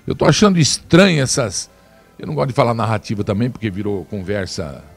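A man giving opinionated commentary, slowing down to stress his points with emphatic, deliberate delivery. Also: fairly low pitch, big pitch swings, vocal fry, soft background music. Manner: emphatic, slows down to stress words. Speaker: commentator presenting video clips.

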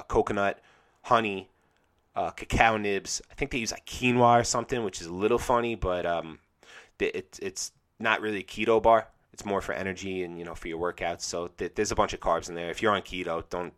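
A man's voice: low-pitched, a bit bright. A man hosting a solo podcast, talking casually with a sleepy, slightly nasal voice from a cold, rambling enthusiastically with many fillers. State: congested from a cold, sleepy morning voice. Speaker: podcast host.

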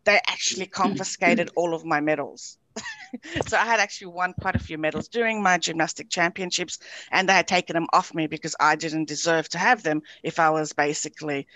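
A woman's voice: sits low, normal speed, bright, forceful tone, poor audio. A woman from Romania, an author being interviewed on a podcast, chatting with lots of fillers and frequent laughter. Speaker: author guest.

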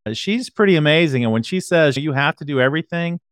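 A man's voice: fairly high pitch, animated, fast talker, no echo, studio quality. A man hosting an interview in a casual, conversational style. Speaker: interview host.

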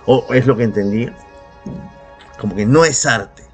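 A man's voice: medium pitch, moderate pace, husky. A Peruvian man co-hosting a casual podcast, argumentative and sarcastic, swearing freely as he gives his opinions. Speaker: podcast co-host. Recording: background music under the voice. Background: music.

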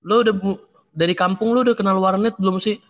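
High-voiced young man asking questions. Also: steady tone, fast talker. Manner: chatty, slangy questions. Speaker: interviewer. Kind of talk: casual interview.

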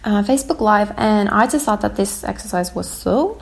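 A young woman hosting a podcast episode, gently guiding listeners through a reflection exercise while hoarse and losing her voice, with many likes and ums. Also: medium pitch, animated, moderate pace. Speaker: podcast host.

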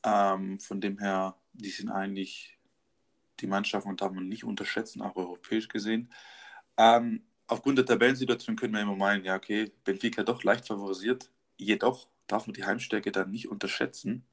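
A young man co-hosting a casual football podcast, his voice sitting a little low. He runs through match statistics in an upbeat way, with lots of filler words and small restarts. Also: fairly even intonation, fairly quick. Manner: stat-heavy, upbeat, filler-laden delivery. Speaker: podcast co-host.

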